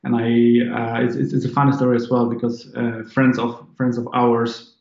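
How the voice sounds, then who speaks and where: A man's voice: mid-range voice, completely flat delivery, normal speed, vocal fry, a little mellow, echoey room, dull audio. A man in his thirties from Poland, speaking English with a Polish accent, chatting as an interview guest in a rambling way with many restarts. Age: 30s. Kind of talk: casual interview chat.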